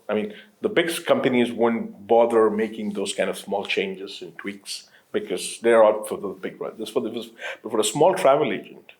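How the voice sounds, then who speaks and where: A man in his late thirties from Qatar, a guest on an interview show, talking casually with lots of fillers, restarts and easy laughs. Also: mid-range voice. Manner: rambling, many restarts and fillers, laughs easily. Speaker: entrepreneur guest.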